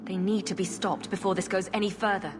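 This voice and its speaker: A woman's voice: mid-range voice, fairly even intonation, fairly quick, lightly acted. An actress playing a female human hero, an apostate mage refugee, speaking in an earnest, direct way. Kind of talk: video game voice acting. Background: game music score.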